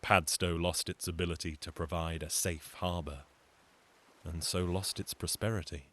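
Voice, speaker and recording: A man's voice: deep voice, steady tone, normal speed, fairly bright tone. A man telling a folktale as a storyteller, in a dramatic, theatrical narrating style.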